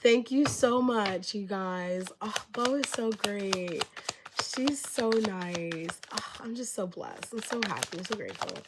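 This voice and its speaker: A woman's voice: mid-range voice, expressive, unhurried, very noisy. A woman reading tarot on a live stream, rambling with many filler words and losing her voice from being sick. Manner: gushing thanks to viewers. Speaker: tarot reader.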